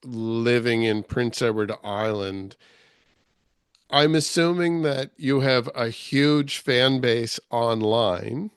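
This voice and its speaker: A man hosts a relaxed interview, asking his questions hesitantly with small restarts. His voice is smooth and clear, mid-pitched, and recorded dry with no room sound. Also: slow pace, no echo. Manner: hesitant, many ums and restarts. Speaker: interview host. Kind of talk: casual interview.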